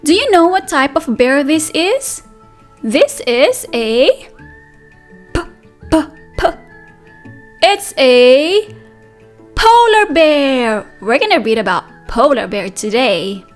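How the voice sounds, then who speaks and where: A high-voiced woman leads a children's read-aloud as a preschool teacher. Her delivery is slow and sing-song, with lots of questions and repeated phrases, and it swings widely in pitch. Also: lively intonation, soft background music.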